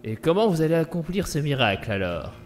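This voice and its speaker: A man's voice: fairly high pitch, sing-song, a little fast, over music. Man acting a touchy superhero in echoing hall. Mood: wary, skeptical. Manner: colloquial, touchy, skeptical. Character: wary, self-important male superhero receiving visitors. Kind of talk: French comic audio drama acting. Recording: reverberant hall, faint noise.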